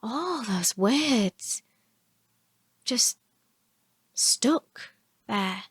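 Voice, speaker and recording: A woman's voice: fairly high pitch, lively intonation, slight background noise. Woman acting a confused, murmuring old lady. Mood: dazed, wistful. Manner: slow, halting, murmured speech. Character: confused elderly woman, slow, wistful, muttering to herself.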